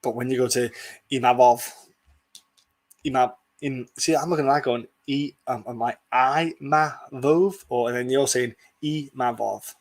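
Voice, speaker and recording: A man's voice: medium pitch, steady tone, unhurried. A man hosting a casual sports prediction podcast, talking bluntly in a rambling way and swearing freely. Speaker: podcast host.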